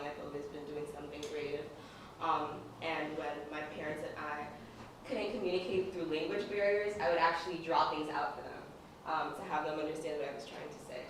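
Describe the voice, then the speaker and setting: Low-voiced young woman in an echoey room. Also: far from the mic, background noise. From Dominican Republic. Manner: relaxed, with many fillers and small laughs. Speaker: speaker sharing her story. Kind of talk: casual share-out talk.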